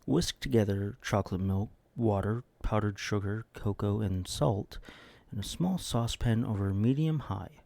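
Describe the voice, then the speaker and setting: Deep, gravelly man reading deadpan. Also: normal speed, few pauses, no echo. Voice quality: low, gravelly, husky. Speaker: host reading recipes and comments. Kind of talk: deadpan comedic recipe reading.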